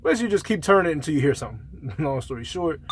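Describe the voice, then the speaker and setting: A man's voice: fairly high pitch, very animated, racing, slight background noise. A man talking casually and colloquially, like a truck driver giving a rambling, conversational gear review in a vlog.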